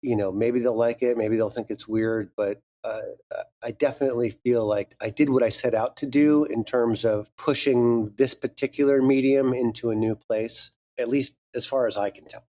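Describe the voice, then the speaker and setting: A man's voice: medium pitch, fairly even intonation, normal speed, warm tone. A man speaking as a podcast guest, thoughtful and earnest. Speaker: guest creator. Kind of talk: interview answer.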